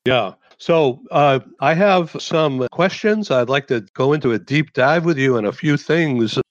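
Interview host in a dull, dry recording. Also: male voice, medium pitch, animated, unhurried, dry recording. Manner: friendly, asks leading questions. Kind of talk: interview conversation.

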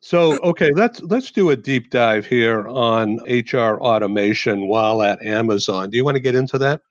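A man's voice: medium pitch, moderate pace, dry recording, lo-fi sound. A man hosting an interview, asking friendly, leading questions of his guest. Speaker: interview host. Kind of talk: interview conversation.

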